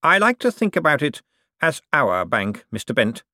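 A man voicing a smug, wealthy bank director in an audiobook, suave and condescending, with drawn-out, menacing hints. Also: a little high, sing-song, fairly bright tone, no room sound, clean studio sound, pitched above the performer's own voice. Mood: oily, falsely generous. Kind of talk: audiobook character dialogue.

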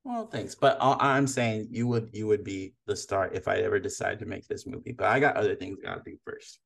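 A man's voice: lowish voice, animated, brisk, vocal fry. A man hosting a casual podcast interview, friendly and teasing, rambling with restarts. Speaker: podcast host.

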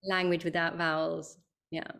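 A woman's voice: lowish voice, level tone, unhurried, vocal fry, fairly bright tone. A woman hosting a podcast interview, asking curious, reflective questions.

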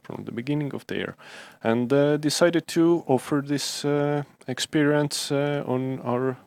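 Gravelly podcast co-host. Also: male voice, on the high side, darkish tone, dry recording. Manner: hesitant, many filler pauses. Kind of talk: casual podcast chat.

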